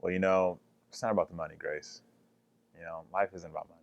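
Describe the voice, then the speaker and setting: Deep-voiced, jokey young man, slightly dull sound. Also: fairly even intonation, fast talker, gravelly, no room sound. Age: young adult. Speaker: college basketball player.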